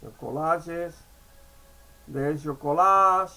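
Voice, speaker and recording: A man's voice: fairly high pitch, slow pace, creaky, pushed and bright. A man in his sixties from Cuba, talking in a casual, rambling vlog monologue and often checking in with "okay?". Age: 60s. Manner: chatty, digressive, repeats "okay?" and restarts often. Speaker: vlogger.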